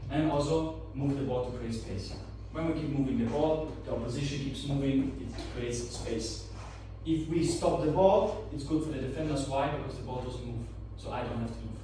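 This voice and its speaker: A man's voice: mid-range voice, moderate pace, hardly any pauses, dark tone. A young man who grew up in Austria, a football assistant coach giving a tactics presentation to players and quizzing them with repeated questions. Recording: echoey room, mic far off, noise.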